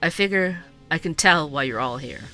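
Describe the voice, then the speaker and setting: A man plays an earnest, night-owl podcast host in a scripted audio drama. His voice is forward and bright, a little high for a man, and he muses confidingly to his listeners over soft music. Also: expressive, normal speed. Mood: confiding, wry. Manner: musing, confiding, addresses listeners directly. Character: bored night-shift coffee shop worker turned earnest investigative podcaster. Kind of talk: scripted narrative podcast narration.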